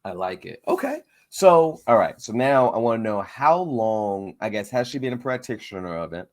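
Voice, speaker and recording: A man hosting a comics interview show, talking informally and haltingly as he thinks his questions through aloud. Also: lowish voice, big pitch swings.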